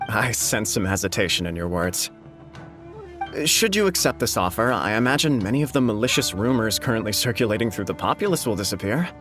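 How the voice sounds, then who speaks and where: Low-voiced, dignified noble official. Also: male voice, animated, a bit bright, soft background music. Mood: formal, gracious. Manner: formal, measured, diplomatic. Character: dignified, courteous male government official of a noble clan. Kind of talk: video game voice acting.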